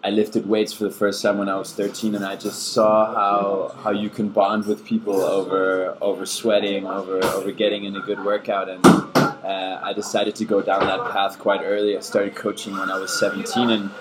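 A man in his twenties whose first language is German, answering interview questions in a casual, measured way and often repeating words while he thinks. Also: fairly low pitch, completely flat delivery, heavy background noise. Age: 20s. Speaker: podcast guest, fitness coach. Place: busy bar. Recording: bar crowd chatter behind.

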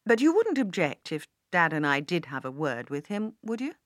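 A woman's voice: lowish voice, sing-song, normal speed, dry recording, clean studio sound. A woman reading an audiobook as an easygoing, curious businessman with a persuasive, conversational manner. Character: easygoing, inquisitive businessman son, casual and persuasive. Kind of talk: audiobook character dialogue.

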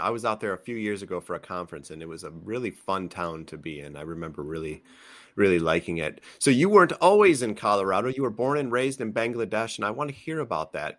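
A man's voice: on the low side, a bit bright. A man hosting a podcast, introducing his guest and asking friendly, upbeat questions. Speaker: podcast host. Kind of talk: podcast interview.

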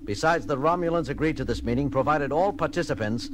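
A man's voice: a little high, quick pace, background noise, close to an everyday voice. A man playing a confident, wry starship captain in a science fiction audio drama, speaking in a commanding, formal way. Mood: brisk, businesslike. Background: music.

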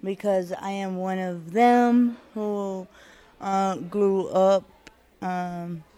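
A woman from New Mexico with a mellow, flat voice. She speaks slowly and haltingly, pausing between words. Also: mid-range voice.

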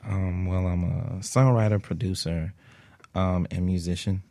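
A man answering casually as an interview guest, in a hesitant, halting way. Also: sits low, steady tone, mellow. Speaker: guest, musician.

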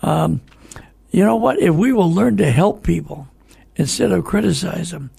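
Gravelly, husky older man hosting radio talk. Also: high voice, expressive, dark tone, dry recording. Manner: folksy, halting, addresses listeners directly. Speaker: radio talk show host. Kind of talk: conversational radio commentary.

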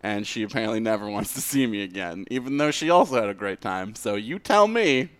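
A man's voice: lowish voice, expressive, a little fast, a bit bright, clean tone, no room sound. A man co-hosting a podcast, talking casually in a self-deprecating, sweary way. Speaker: podcast co-host.

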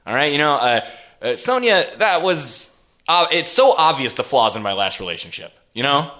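A man playing a dazed, lovestruck delivery driver in improvised radio comedy, halting and rambling as he goes. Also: fairly high pitch, big pitch swings, bright, forceful tone. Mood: smitten, excited.

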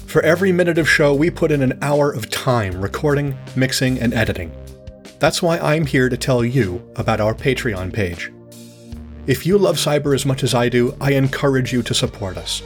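Man making a scripted pitch over music. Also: expressive, moderate pace. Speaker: show presenter asking for support. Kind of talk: scripted promotional appeal.

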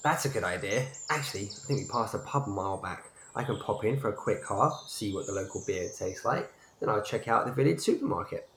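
A man's voice: on the low side, lively intonation, fairly quick, a bit bright. A man playing an anxious, hesitant, old-fashioned husband in a naturalistic audio drama. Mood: easygoing. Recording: echoey, clearly noisy ambience.

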